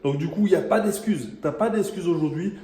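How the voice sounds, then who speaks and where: Male host, immigrant, casual and encouraging, slightly high voice, very echoey room. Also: quick pace. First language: not French. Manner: chatty, relaxed, encouraging, many fillers. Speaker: host, real estate coach. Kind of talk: casual interview.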